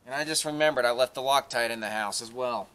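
A man's voice: medium pitch, fast talker. A man giving a casual, offhand hands-on tutorial to camera, recorded outdoors from a short distance. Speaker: DIY vlogger demonstrating an install. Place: outdoors beside a pickup truck. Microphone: camera set up a short distance away. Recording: noisy outdoor camera audio with clicks.

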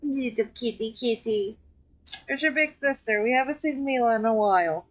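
A woman's voice: fairly high pitch, normal speed. A woman streaming a video game, chatting casually with friends, laughing often and trailing off with fillers. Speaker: VTuber streamer. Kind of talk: casual gameplay commentary.

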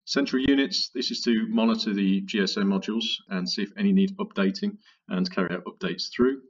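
Guy explaining software step by step, informal, bit muffled and off the mic. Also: on the low side, animated, fairly quick, husky, distant mic. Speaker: product tutorial presenter. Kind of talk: software walkthrough narration, conversational.

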